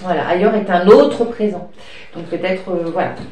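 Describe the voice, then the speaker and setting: A woman's voice: lowish voice, moderate pace, warm tone. A woman with a cold, her voice a little stuffy and interrupted by coughs, talks through tarot cards in a meandering, hesitant way full of fillers. She sounds affectionate as she talks to her cat and child. She is recorded from some way off in a room with noticeable echo and a faint hiss. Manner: rambling, hesitant, with many fillers. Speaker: tarot card reader. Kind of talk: casual card-reading chat. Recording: echoey room, distant mic, light noise.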